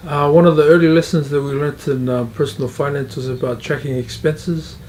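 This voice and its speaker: A man's voice: a little high, steady tone, a little fast, darkish tone, slight background noise. A middle-aged man, earnestly sharing his experience in an interview, often repeating and restarting phrases. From Tonga, raised in New Zealand. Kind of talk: testimonial interview.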